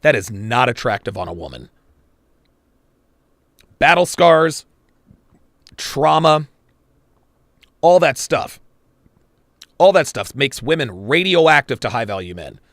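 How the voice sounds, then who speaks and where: A man's voice: medium pitch, big pitch swings, slow, pauses often, a bit bright, no room sound, clean studio sound. A man hosting a live reaction stream, blunt and crude, swearing and talking to his chat viewers. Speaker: podcast host reacting to a clip.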